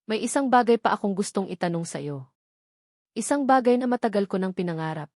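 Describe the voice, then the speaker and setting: A woman's voice: mid-range voice, lively intonation, brisk, dark tone, no echo, clean studio sound, natural voice. Woman voicing an earnest Filipino man in love. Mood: tender, hesitant. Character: earnest, devoted man in love, tearfully proposing.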